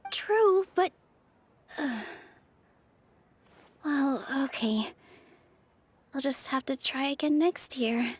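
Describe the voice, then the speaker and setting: A woman's voice: high voice, animated, takes it slowly, pauses often. A woman voicing a sweet, caring young dancer in a video game, with a light, earnest delivery. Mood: reluctant, disappointed. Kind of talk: video game character dialogue.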